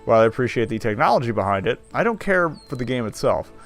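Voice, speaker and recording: A man streaming a visual novel reads the narration aloud in a medium-pitched voice. He is wry and drops sardonic asides. Soft music runs behind him. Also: sing-song, quick pace. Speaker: game streamer.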